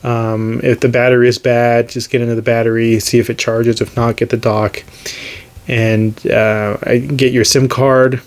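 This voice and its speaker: American guy doing a casual gadget vlog, kinda monotone, rambles and thinks out loud. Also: medium pitch, moderate pace. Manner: rambling, hesitant, thinking aloud. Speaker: radio hobbyist video host.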